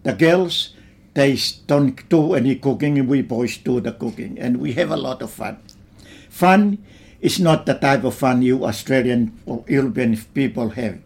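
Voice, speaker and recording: An older man from Tonga looks back on his early life in an interview. He speaks slowly and simply, with unhurried phrasing in a mid-range voice. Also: medium pitch, gravelly, darkish tone. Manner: slow, plain reminiscing with simple phrasing. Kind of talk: oral history interview.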